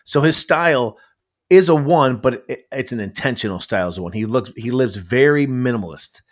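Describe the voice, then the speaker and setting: Animated man in casual movie chat. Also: medium pitch, fairly quick. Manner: animated, swears freely, laughs at own jokes. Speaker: podcast guest.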